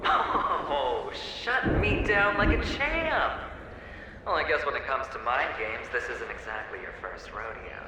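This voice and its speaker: Echoey, theatrical, mocking phantom. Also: male voice, medium pitch, big pitch swings, a little slow. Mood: gleeful taunting. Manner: taunting, theatrical, swooping between hushed and exclaiming. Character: mocking, theatrical phantom tormentor, gleefully needling. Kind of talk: video game cutscene acting. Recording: echoey, distant, loud background noise.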